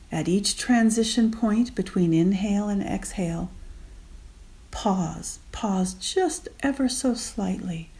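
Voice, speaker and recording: A woman guiding a meditation gently and unhurriedly in short spaced phrases, with soft, breathy endings. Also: mid-range voice. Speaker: meditation guide. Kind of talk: guided meditation.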